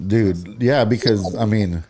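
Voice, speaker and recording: A man's voice: lowish voice, takes it slowly, darkish tone, faint music behind. A man, hosting a casual studio chat in an easygoing way, calling people dude. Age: late 30s. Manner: easygoing, says dude a lot. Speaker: host.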